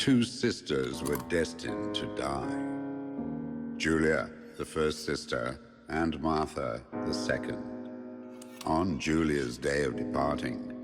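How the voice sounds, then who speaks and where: A man playing Death as a grave, ancient spirit, solemnly and deliberately narrating a dark fable for a game. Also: a bass voice, exaggerated voice. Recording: long cavernous reverb, music behind.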